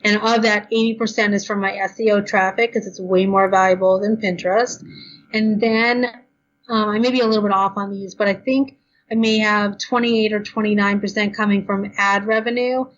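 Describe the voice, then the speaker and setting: A woman's voice: mid-range voice, fairly even intonation, dull audio. Tired, chatty woman giving blog advice. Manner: chatty, fast, lots of ums and you knows. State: tired, losing her voice. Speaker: blogger guest. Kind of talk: podcast interview, casual advice.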